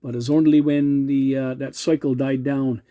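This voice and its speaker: Middle-aged man from Canada, very mellow dark tone, little pitch variation, casual rambling radio chat. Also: flat delivery, normal speed. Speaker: CB radio hobbyist.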